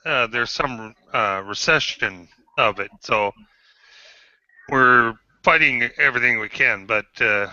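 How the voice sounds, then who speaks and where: A man in his fifties, a guest on an online panel, speaking haltingly with many pauses and restarts. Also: mid-range voice, bright, forceful tone, no room sound, muffled recording. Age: 50s. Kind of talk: casual group chat.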